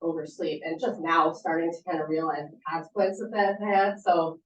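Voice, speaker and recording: A woman's voice: low voice, steady tone, normal speed, a little hiss or hum. Chatty woman therapist in an echoey room. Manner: chatty, informal, many fillers and restarts. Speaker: sleep therapist giving a talk. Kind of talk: casual talk answering audience questions. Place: meeting room at a support center. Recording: echoey room, distant mic, muffled.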